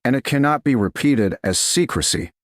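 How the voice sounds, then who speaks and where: A man voicing a calm resolute noblewoman. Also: mid-range voice, normal speed, no room sound, clean studio sound, voice pushed up, lightly acted. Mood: steady.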